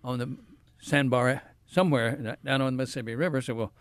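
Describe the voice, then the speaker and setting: A man's voice: medium pitch, fairly quick, dry recording. A man hosting a casual live radio show in a folksy, storytelling way. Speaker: outdoor radio show host.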